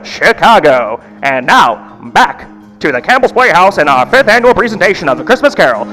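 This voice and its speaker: An actor playing a formal, declamatory American radio network announcer in the style of the 1930s and 40s. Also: male voice, sits high, sharp tone, full character voice. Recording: echoey hall, music behind.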